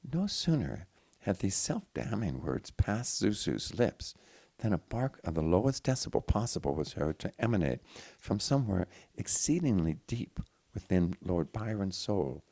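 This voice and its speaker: Male literary narrator, low and gravelly, theatrical phrasing, very animated intonation. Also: measured pace, dry recording. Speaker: audiobook reader. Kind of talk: dramatic literary story narration.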